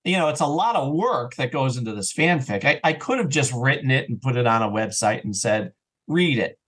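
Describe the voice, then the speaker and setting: A man with a mid-pitched voice speaks as an interview guest. He chats casually and animatedly, often breaking into his own sentences as he explains his creative process like a storyteller. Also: lively intonation, fairly quick, fairly bright tone, slightly rough. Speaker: audio drama writer and actor, interview guest.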